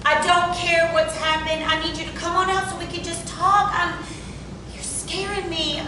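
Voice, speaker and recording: A woman playing a frightened, devoted young wife, earnest and halting as she pleads in a stage play reading. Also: level tone. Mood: pleading, anxious. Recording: very echoey room from far off, rumbling noise.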